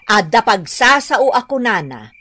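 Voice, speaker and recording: A woman's voice: on the high side, big pitch swings, a little slow, bright, forceful tone, slightly rough, no echo, exaggerated voice. A woman with an Ilocano accent playing an earnest, concerned wife who admonishes her husband in a radio drama. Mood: admonishing.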